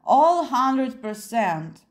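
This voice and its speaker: A woman's voice: high-pitched, big pitch swings, drawn-out words, voice pushed up, exaggerated voice. A woman voicing a suspicious, hot-headed male poet with a blunt, irritable manner in an audiobook. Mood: suspicious, irritated.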